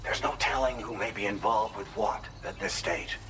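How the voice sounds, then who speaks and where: An actor playing a formal, cerebral male contact who gives secretive counsel in a measured, expository way in a video game cutscene. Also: on the low side, lively intonation, vocal fry, very noisy. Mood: grave, measured.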